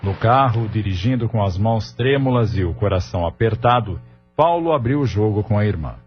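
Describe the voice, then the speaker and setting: Low-pitched Brazilian man narrating a novela. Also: lively intonation, fairly quick, breathy edge. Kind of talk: scripted audio novela narration. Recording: dull lo-fi audio with music bed.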